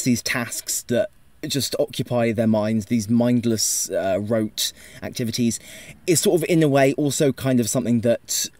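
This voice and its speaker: A man's voice: medium pitch, a little mellow, dry recording. A man as a podcast panelist, giving casual analysis in a tentative, hedging way. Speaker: podcast panelist discussing audio drama.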